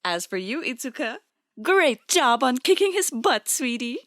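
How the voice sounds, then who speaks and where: A woman's voice: high voice, sing-song, a little slow, a bit bright, dry recording, clean studio sound, full character voice. A middle-aged woman playing a playful, doting mother who teaches kendo, talking in a teasing, affectionate way. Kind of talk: fan audio drama acting.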